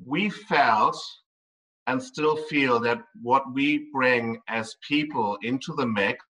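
Brief: male tour operator, bright edgy tone, chatty and meandering, sitting back from the mic. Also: mid-range voice, slow, distant mic, low-quality recording. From Ireland and Germany. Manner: rambling, with frequent ums. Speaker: tour company owner. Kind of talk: conversational interview answers.

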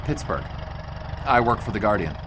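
An actor playing a polite, earnest 1920s sports writer with an American accent, speaking in a measured, formal way as in a dramatized memoir. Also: male voice, fairly low pitch, sing-song, noisy background. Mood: polite, reserved. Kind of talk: dramatized scripted narration.

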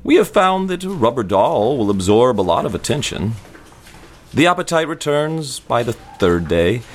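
A man's voice: medium pitch, big pitch swings. A man reading formal, scripted medical narration in a clinical manner. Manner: clinical, formal, measured wording. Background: music.

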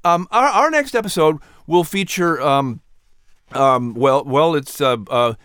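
A man's voice: fairly high pitch, unhurried, a bit bright, clean tone, no echo, clean studio sound. A man working as announcer and narrator, speaking in a scripted storytelling style for an audio show.

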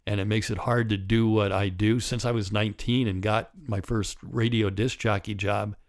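A man in his sixties hosts his solo podcast in a raspy, slightly low voice. A partly paralyzed vocal cord leaves his tone rough. He talks candidly and wryly, in a loose conversational way. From United States. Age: 60s. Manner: candid, wry and conversational, with chuckles. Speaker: podcast host. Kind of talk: reflective solo podcast monologue.

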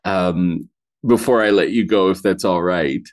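Deep-voiced effusive podcast host. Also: male voice, measured pace. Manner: effusive, rambling with many fillers and restarts. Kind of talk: casual interview on a call.

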